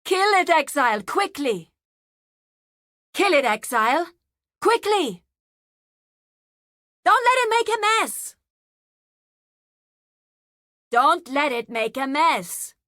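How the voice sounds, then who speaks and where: Zealous nature priestess, fantasy game, very slow formal delivery, sweeping intonation, theatrical. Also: female voice, high-pitched, sing-song, pushed and bright, no room sound, studio quality, exaggerated voice. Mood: urgent. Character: solemn nature priestess of the grove, turning zealous. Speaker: video game character.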